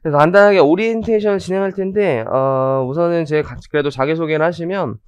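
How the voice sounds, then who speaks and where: A hoarse man in his thirties giving a chatty orientation lecture on tax law, with joking asides and many fillers. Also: a little high, quick pace, dry recording. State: hoarse from rhinitis.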